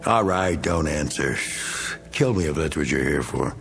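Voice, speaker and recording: A middle-aged American war veteran with a low voice, full of bluster and self-pity. He needles and rants in slangy tough talk, like a bitter, boozy bigot in a radio play. Faint music runs underneath. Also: expressive, normal speed, vocal fry, fairly bright tone, heavily acted. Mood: pleading, self-pitying. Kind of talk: dramatic radio play acting.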